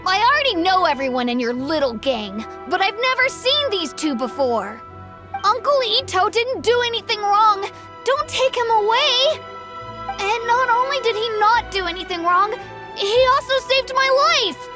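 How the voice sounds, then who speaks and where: A woman's voice: very high-pitched, sing-song, moderate pace, sharp tone, soft background music, exaggerated voice. A woman voicing a stubborn, loyal young boy in a game, emphatic and childlike. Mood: pleading.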